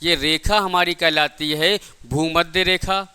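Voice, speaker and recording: A man's voice: a little high, fairly even intonation, measured pace, bright, forceful tone, clear, resonant tone. A man teaching a lecture in a didactic way, repeating points and asking rhetorical questions. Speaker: physics teacher for exam coaching. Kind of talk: classroom lecture.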